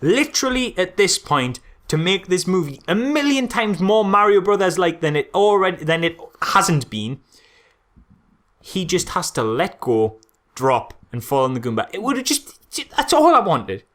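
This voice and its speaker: A British man co-hosting a film podcast, chatting casually and comedically, animated and given to exasperated repetition for emphasis. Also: high-pitched, normal speed.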